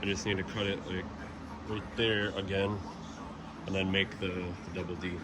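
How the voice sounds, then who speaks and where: A man with a very low voice talks through a hands-on repair in a loose, informal way, thinking aloud as he works. Clear background noise runs under his words. He sounds like a home mechanic narrating his own tinkering. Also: little pitch movement, normal speed. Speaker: DIY mechanic vlogger.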